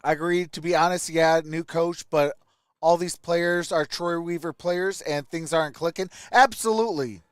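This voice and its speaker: A man's voice: on the high side, steady tone, normal speed, clear, resonant tone, no echo. A man hosting a sports livestream, chatting casually and commenting as he answers viewers. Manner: greets viewers by name, conversational.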